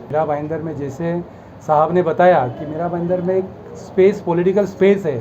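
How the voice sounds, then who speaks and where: A man with an Indian accent, a party worker giving a measured, deferential interview answer with pauses. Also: fairly high pitch, fairly even intonation, warm tone. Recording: dull, noisy location recording.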